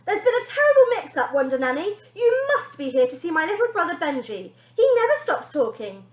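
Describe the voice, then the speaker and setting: A woman voicing a bossy, spoiled young girl who shouts her demands indignantly, in an echoey room. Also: sits high, sing-song, a little fast, pitched above the performer's own voice.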